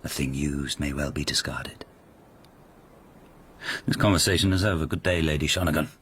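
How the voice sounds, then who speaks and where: An actor playing a haughty, menacing court lord in a radio drama, speaking with deliberate, imperious condescension. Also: male voice, a bass voice, a little hiss or hum. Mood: cold, menacing.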